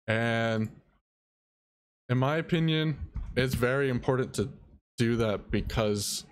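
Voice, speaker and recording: A young man streaming, soft-spoken and halting, explaining audio editing and answering chat. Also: mid-range voice. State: dry mouth. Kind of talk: casual live-stream tutorial.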